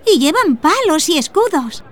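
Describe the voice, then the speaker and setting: Little girl, very high pitch, innocent, inquisitive, radio drama, outdoor crowd behind. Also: very animated, normal speed, fairly bright tone, heavily acted. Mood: curious, uneasy. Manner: inquisitive, childlike. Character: curious, innocent young daughter asking her mother questions.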